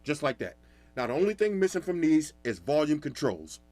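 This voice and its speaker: A man giving a casual, animated product review in a loud, talkative voice. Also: fairly high pitch, a little fast, dry recording. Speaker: tech reviewer.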